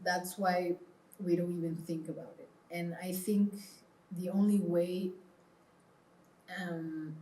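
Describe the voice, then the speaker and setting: Lower-voiced woman in an echoey room. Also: a little mellow, slight background noise. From Peru. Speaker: interview guest, clothing brand founder. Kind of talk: conversational interview answers. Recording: roomy, echoing sound.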